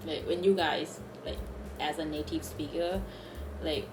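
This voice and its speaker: A woman with a low, dusky voice chats in English over a video call, hesitant and giggly, leaning on fillers. Her voice rings in a roomy space, and clear music plays underneath. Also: steady tone, unhurried. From Thailand. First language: Thai. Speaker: channel host chatting with strangers. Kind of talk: casual video chat. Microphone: online video call.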